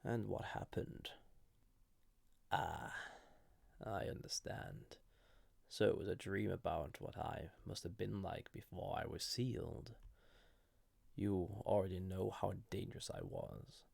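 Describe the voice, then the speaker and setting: A man playing a banished ancient villain in an audio roleplay, flirty and wistful, speaking softly and deliberately in a husky, breathy voice. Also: deep voice, lively intonation, no room sound, exaggerated voice. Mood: curious, guarded. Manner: hesitant, softly intimate, deliberate. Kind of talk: scripted audio roleplay addressed to listener.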